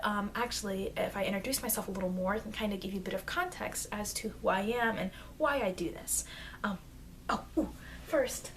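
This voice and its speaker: A woman's voice: medium pitch, lightly acted. A woman playing a friendly, flustered, eager vampire girl in an ASMR roleplay, speaking in an awkward, hesitant, rambling way. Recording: noisy amateur microphone, background hiss.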